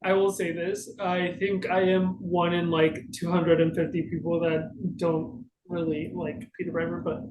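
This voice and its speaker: A man's voice: on the high side, little pitch movement, moderate pace, hardly any pauses, a little mellow. A man co-hosting a casual question-and-answer chat in a hesitant, self-deprecating way. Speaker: podcast co-host. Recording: echoey room, faint noise.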